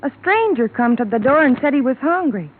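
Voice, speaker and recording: A reluctant country housekeeper on old radio. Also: female voice, sits high, expressive, a little fast. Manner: plain, reluctant, uneducated country speech. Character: frightened rural woman, ranch housekeeper. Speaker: witness. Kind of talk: 1950s radio drama acting. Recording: tinny lo-fi old radio broadcast with hiss.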